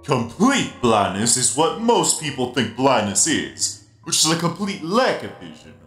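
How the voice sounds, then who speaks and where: Give this giant animatronic spider DJ , played by a man, an unhurried, animated delivery. He is genial and playful, happy to explain things patiently. The voice sits in a roomy echo with music behind it, in comic dub style. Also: mid-range voice. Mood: genial, explanatory. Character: giant friendly half-blind animatronic spider DJ. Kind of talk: comic dub character acting.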